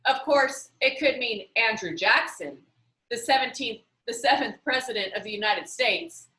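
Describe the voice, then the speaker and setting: A woman's voice: fairly high pitch, animated, normal speed, sharp tone, reverberant. A woman voicing a confident, wry, chatty boy in a storybook read-aloud, emphatic and matter-of-fact.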